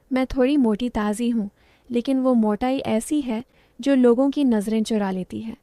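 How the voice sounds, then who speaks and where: A woman narrating a first-person story in a steady storytelling tone. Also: fairly high pitch, clean tone, dry recording.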